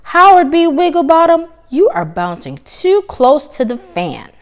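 A woman voicing an exasperated teacher goose from a children's storybook, giving loud, firm, emphatic commands. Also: sits high, sing-song, unhurried, voice pushed up. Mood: stern. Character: exasperated teacher goose scolding a bouncy pupil. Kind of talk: children's storybook read-aloud.

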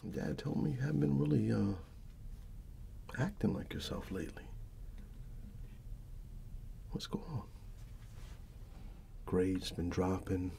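A middle-aged American man doing a soft-spoken ASMR barber roleplay, breathy and often near a whisper, with an unhurried, halting, fatherly manner. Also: on the low side, lively intonation, a little hiss or hum. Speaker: ASMR performer playing a barber.

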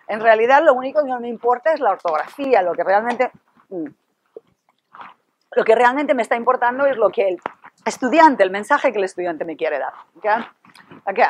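A middle-aged woman presenting a lecture in a classroom, animated, asking the room rhetorical questions and tossing in "ok" and "right" as fillers. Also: a little high, normal speed, long pauses, a little hiss or hum. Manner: animated, gestures a lot, sprinkles English fillers like "ok" and "right"; asks the audience rhetorical questions. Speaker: workshop presenter. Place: classroom with projection screen. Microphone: room camera at a distance.

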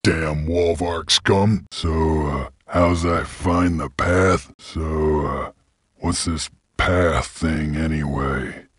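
Very deep, husky gunslinger drifter. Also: male voice, steady tone, extremely slow, no room sound, clean studio sound, full character voice. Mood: gruff, terse. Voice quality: gravelly, husky. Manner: terse, folksy drawling cowboy talk. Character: gruff, laconic male creature bounty hunter, drifter gunslinger. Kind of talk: video game character voice lines.

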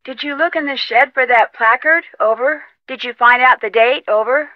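A young American woman playing a plucky, determined detective in an adventure game, speaking earnestly and clearly. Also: high voice, normal speed, dry recording, dull audio, natural voice. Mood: brisk, businesslike. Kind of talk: scripted game dialogue.

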